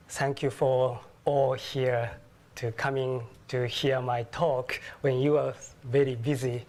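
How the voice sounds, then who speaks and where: A man from Japan with a Japanese accent, giving an academic lecture slowly, with halting restarts and self-corrections. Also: mid-range voice, darkish tone. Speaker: guest lecturer. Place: lecture hall.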